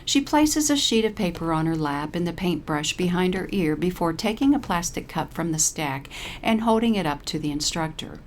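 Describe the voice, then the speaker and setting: A woman with a fairly low voice narrates a short story from a script. She reads as the storyteller between lines of dialogue. Noticeable noise runs behind her. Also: expressive, normal speed.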